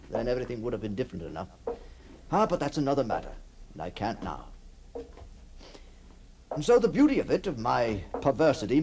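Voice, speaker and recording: Gravelly, wistful genteel gentleman. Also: mid-range voice. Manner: musing, theatrical, refined. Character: wistful, genteel middle-aged gentleman haunted by his past. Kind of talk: radio drama acting. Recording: old radio recording with faint noise.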